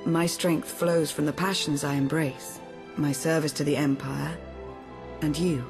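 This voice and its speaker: A lowish female voice over clear background music. a composed and devoted dark-empire agent in a video game, earnest, measured and restrained. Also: fairly even intonation. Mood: tender, sincere.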